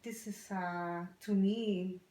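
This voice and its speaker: A woman's voice: medium pitch, steady tone, takes it slowly, dark tone, echoey room. Plain-spoken woman from El Salvador. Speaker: interviewee. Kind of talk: casual family interview.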